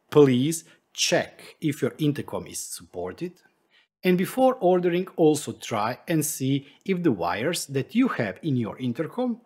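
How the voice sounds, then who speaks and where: Slightly husky man, lively explanatory delivery. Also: mid-range voice. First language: not English. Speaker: tech YouTube host. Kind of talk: tutorial narration to camera.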